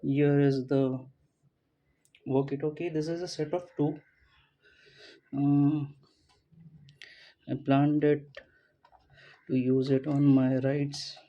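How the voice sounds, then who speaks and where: A man casually talking through a product unboxing without a script, thinking aloud in a halting way as he goes. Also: on the high side, level tone, mellow, dull audio. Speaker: gadget unboxing vlogger. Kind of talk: casual unscripted product walkthrough.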